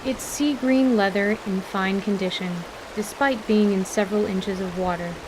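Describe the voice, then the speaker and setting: A woman's voice: medium pitch, normal speed, noisy background. A woman reading scripted, descriptive narration for a fantasy audio drama as its narrator. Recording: steady rain and stream ambience behind.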